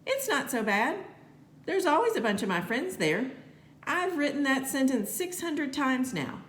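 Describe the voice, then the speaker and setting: A woman's voice: high-pitched, big pitch swings, measured pace, a bit bright, reverberant, pitched above the performer's own voice, exaggerated voice. A woman voicing an easygoing fifth-grade schoolboy in a storybook, casual and matter-of-fact. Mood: cheerful.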